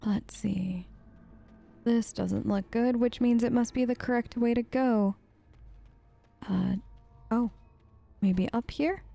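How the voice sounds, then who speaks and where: A woman's voice: on the high side, unhurried, vocal fry, mellow. A woman doing casual, chatty role-play commentary as a let's play host.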